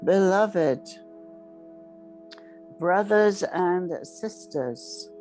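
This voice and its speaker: A woman leading a guided meditation in a solemn, ceremonial way, speaking in short, measured phrases with long pauses. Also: on the low side, lively intonation, darkish tone, faint music behind, rough recording.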